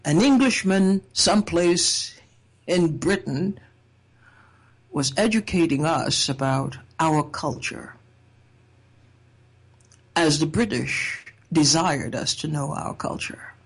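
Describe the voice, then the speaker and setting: Slow, husky woman from Trinidad. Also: deep voice, poor audio. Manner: slow, measured and thoughtful, with wry humor. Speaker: speaker sharing a personal essay. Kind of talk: reflective personal talk.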